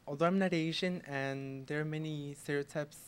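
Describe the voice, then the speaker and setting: A young man from Mexico, a native Spanish speaker and student, giving a personal account in a deliberate, halting way. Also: a little high, fairly even intonation, clear, resonant tone. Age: young adult. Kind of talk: personal account in a group discussion.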